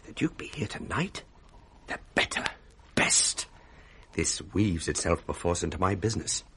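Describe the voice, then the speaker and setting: An actor playing a scheming, false young brother with a British accent in a 1960s radio Shakespeare drama. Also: male voice, low voice, sing-song, unhurried, fairly bright tone, slight background noise, exaggerated voice.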